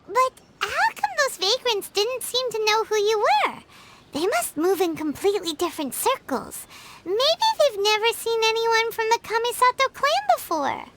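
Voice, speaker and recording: A woman voicing a tiny, bubbly fairy girl companion in a game, chirpy and excitable, calling herself by her own name. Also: very high-pitched, normal speed, a little hiss or hum, heavily acted. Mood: curious. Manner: chirpy, excitable, talks about herself in third person. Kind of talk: game voice acting. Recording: game audio with background music.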